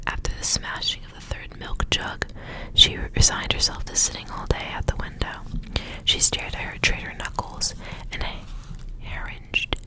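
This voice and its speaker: A woman whispering as an ASMR host, reading stories aloud with casual asides in a hushed, unvoiced delivery. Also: rough recording. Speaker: ASMR reader, host of the channel.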